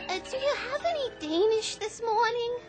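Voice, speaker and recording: An actress playing a wry, dryly sarcastic office worker in a radio drama. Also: female voice, very high-pitched, animated, moderate pace, music in the background. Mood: disappointed. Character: wry female office worker on coffee break.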